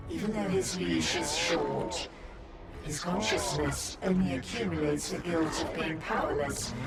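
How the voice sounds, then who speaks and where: An actor voicing an inhuman hive-mind creature in a video game, coldly polite and formal, speaking as a collective, with a gravelly, echo-processed voice. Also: medium pitch. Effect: echoing monster voice processing. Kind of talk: video game villain acting. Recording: echoing, distant, under loud music.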